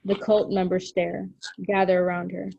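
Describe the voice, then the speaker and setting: A woman's voice: on the low side, flat delivery, normal speed, vocal fry, warm tone, dry recording, dull audio. A woman narrating the stage directions of a script at a table read.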